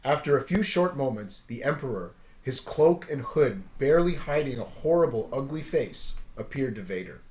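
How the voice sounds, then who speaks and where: Animated male storyteller in an echoey room. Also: mid-range voice, moderate pace, hardly any pauses. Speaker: storyteller reading aloud.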